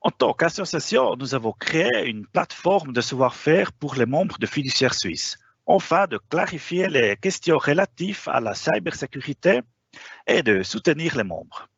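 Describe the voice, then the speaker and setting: A man's voice: fairly high pitch, very animated, moderate pace, vocal fry, fairly bright tone, breathy edge, dry recording, highs cut off. A man whose first language is not French, presenting a formal webinar with frequent hesitations. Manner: hesitant, frequent "euh" fillers.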